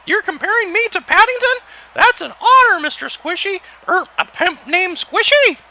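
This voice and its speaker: A woman puts on a childish, naive cartoon teddy bear boy. The voice is tiny and very high, lively in its swings, cheerful and innocent throughout. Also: normal speed, heavily acted. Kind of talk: cartoon character voice.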